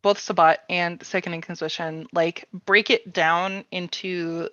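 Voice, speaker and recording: A woman's voice: on the low side, fairly even intonation, measured pace, sharp tone, dry recording, muffled recording. A woman hosting a casual livestream workshop, speaking informally and hesitantly with plenty of filler "like"s. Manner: informal, hesitant phrasing with many "like"s. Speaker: host of a publisher's livestream workshop. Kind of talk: casual workshop chat, reading viewer questions.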